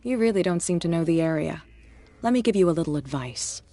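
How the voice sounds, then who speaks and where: A menacing gang boss. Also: male voice, a little high, a little fast. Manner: calm, threatening, businesslike. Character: tough male gang boss, menacing and shrewd. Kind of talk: video game voice acting.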